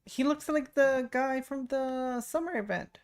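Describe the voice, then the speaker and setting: A woman's voice: high-pitched, unhurried. A woman streamer giving casual game commentary, talking playfully to herself in short reactions. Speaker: game streamer playing through a story quest.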